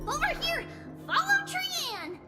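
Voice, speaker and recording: Tiny high-pitched little girl. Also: sing-song, slow pace, full character voice. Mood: excited, urging. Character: small chirpy little girl, earnest and curious. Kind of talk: English game dub acting. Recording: reverberant game audio with background music.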